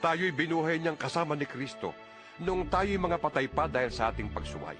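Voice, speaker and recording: A man's voice: fairly high pitch, expressive, normal speed, natural voice. A man voicing a solemn, devout apostle in a dramatized scripture reading, with declamatory delivery. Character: solemn, devout male apostle reading his letter aloud. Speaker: audio Bible narrator. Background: music.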